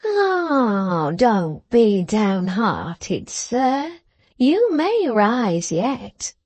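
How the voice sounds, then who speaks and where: A woman voicing an irritable, wheedling old man in a classic novel, with a breathless, drawn-out delivery. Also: fairly high pitch, very animated, darkish tone, no room sound, full character voice. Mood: wheedling, sly.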